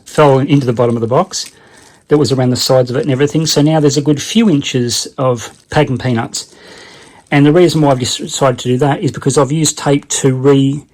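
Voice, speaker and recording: A man's voice: medium pitch, low-quality recording. A man presenting a casual how-to demonstration in a rambling, colloquial way, often repeating himself for emphasis. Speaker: hobbyist video presenter.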